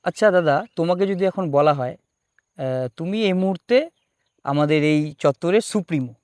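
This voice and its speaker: High-voiced man interviewing. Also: moderate pace, darkish tone, smooth, clean studio sound. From North Bengal, India. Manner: agreeable, echoes the guest's phrases. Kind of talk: casual interview chat.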